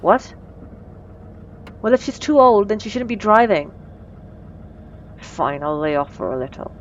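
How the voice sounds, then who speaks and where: A woman playing a bossy, sarcastic supervillain with a soft spot, in a teasing, dry, conversational ASMR roleplay. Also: medium pitch, sing-song, a little slow, lo-fi sound, a little hiss or hum. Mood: exasperated. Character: female supervillain, bossy and sarcastic, secretly flustered by compliments. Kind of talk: ASMR roleplay audio drama.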